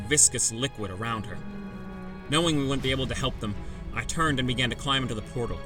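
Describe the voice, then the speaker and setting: A man giving dramatic scripted first-person narration for a fantasy audiobook. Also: medium pitch. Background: background music.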